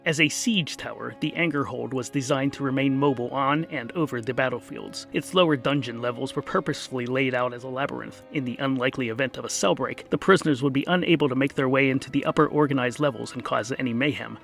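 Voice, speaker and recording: A man's voice: mid-range voice, fast, vocal fry, few pauses, fairly bright tone, faint music behind. A man narrating a scripted comic fantasy tale as a wry, dramatic storyteller.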